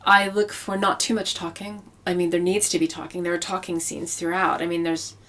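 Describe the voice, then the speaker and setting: A woman's voice: on the low side, flat delivery, brisk, fairly bright tone. A woman speaking as a novelist in an interview, explaining her craft conversationally and repeating phrases for emphasis. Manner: explanatory, with emphatic repetition for effect.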